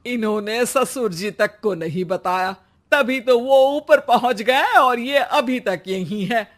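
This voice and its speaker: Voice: mid-range voice, takes it slowly, natural voice. A voice actor playing a lazy, grumpy middle-aged mother-in-law in a cartoon, whiny and snappish. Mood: snide. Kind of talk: cartoon voice acting.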